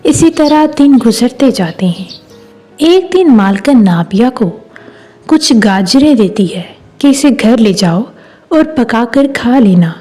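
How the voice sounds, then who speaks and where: A woman storyteller with a high, mellow voice. She reads a scripted story at a slow pace, with music behind her. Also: animated. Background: background music.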